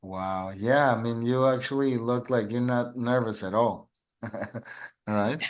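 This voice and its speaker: A man's voice: mid-range voice, steady tone, measured pace. Enthusiastic man saying yeah a lot. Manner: enthusiastic, repeats yeah, fillers. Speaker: musician, host. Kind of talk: casual video call chat.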